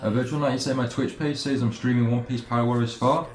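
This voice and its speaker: A man streaming a video game, chatting casually and haltingly with viewers as he plays, often trailing off and restarting. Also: mid-range voice, steady tone. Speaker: let's play streamer. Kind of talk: casual live game commentary and chat with viewers. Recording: roomy echo, game music behind. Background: video game music.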